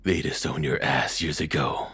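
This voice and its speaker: A man playing a cruel, controlling ex-boyfriend in an intimate audio roleplay, taunting and belittling slowly in a breathy, husky voice that drops toward whispers. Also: very deep voice, fairly even intonation, a bit bright, soft background music, heavily acted. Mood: cold contempt. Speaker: voice actor.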